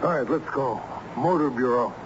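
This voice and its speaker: Brisk, driven attorney played by a man, vintage radio thriller style, dull noisy broadcast sound. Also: medium pitch, animated, lightly acted. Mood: brisk, determined. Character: brisk, determined male lawyer on a hunt. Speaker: actor in a radio drama. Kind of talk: vintage radio drama acting.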